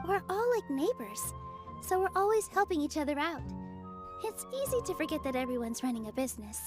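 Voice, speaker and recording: A woman playing a sweet, gracious young dancer, speaking in a gentle, polite and earnest way in an anime game. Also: high-pitched, very animated, fairly quick. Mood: warm, explaining. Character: sweet, gracious young female theater dancer, sincere and friendly. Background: game music.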